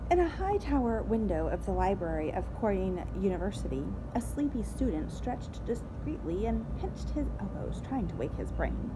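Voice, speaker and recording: Woman reading a story, noisy home recording. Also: mid-range voice. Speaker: story reader. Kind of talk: expressive storybook read-aloud.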